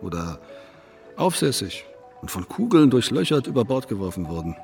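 Cynical, pragmatic medium-pitched man. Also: sing-song, normal speed, gravelly, a little mellow, faint music behind. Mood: stern, provoking. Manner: blunt, mocking, coolly matter-of-fact. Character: cool, cynical, pragmatic man, needling his companion. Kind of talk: radio drama acting, literary dialogue.

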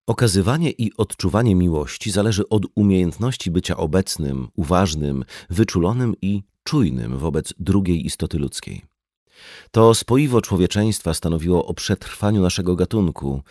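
Low-voiced man reading an audiobook. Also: lively intonation, moderate pace, a little mellow, dry recording, clean studio sound. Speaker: audiobook reader. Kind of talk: scripted audiobook narration in a conversational format.

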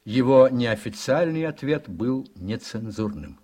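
A man narrating a radio drama from a script, in an expressive, measured storytelling manner. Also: medium pitch, heavy background noise.

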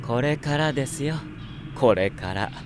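An actor playing a scheming, cruel young sorcerer with mockingly polite, unhurried speech in a Japanese radio drama. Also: male voice, medium pitch, lively intonation, lightly acted. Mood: smug, taunting. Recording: drama production with music behind.

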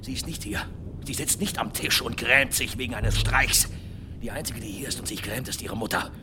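A man's voice: moderate pace, natural voice. An actor playing a fiery, desperate blacksmith father in a German audio drama, emphatic and confrontational. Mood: angry, bitter. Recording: clear rumbling background noise.

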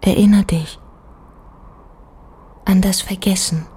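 Breathy, whispering haunting spirit. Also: female voice, medium pitch, fairly even intonation, mellow. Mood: whispered, urging. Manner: incantatory, slow repetition. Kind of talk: poetic audio drama monologue.

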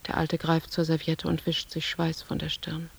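A woman's voice: low-pitched, completely flat delivery, normal speed, gravelly, husky, full character voice. An actress playing an anxious, withdrawn woman who broods in terse fragments, sometimes dropping toward a whisper, in a literary radio drama. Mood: brooding. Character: anxious, withdrawn younger woman lost in inner thoughts.

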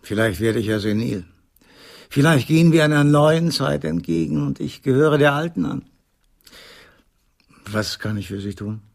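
Creaky, dry old scientist. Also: male voice, mid-range voice, expressive. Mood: resigned, welcoming. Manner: dry, ironic, measured. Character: ageing, sardonic, lonely scientist, hospitable and resigned. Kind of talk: German radio play acting.

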